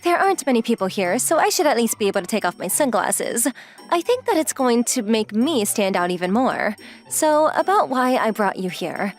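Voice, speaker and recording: Young female model, anime dub, played by a woman: breezy, teasing, forward, on the high side with big animated swoops. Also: normal speed. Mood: easygoing, explanatory. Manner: forward, playful, casually inquisitive. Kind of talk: anime-style dub acting. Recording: music behind the voice.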